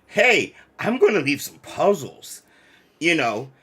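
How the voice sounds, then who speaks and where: Male stream co-host, bright and very animated, slangy and playfully indignant, casual delivery. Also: fairly high pitch, takes it slowly, husky. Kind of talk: casual livestream chat.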